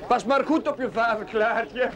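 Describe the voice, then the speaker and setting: An actor playing a kind, earnest village priest in a 1970s Dutch television drama, gentle and hesitant in manner. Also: male voice, very high voice, moderate pace, crowd noise. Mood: warm, wistful. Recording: 1970s TV soundtrack, music underneath.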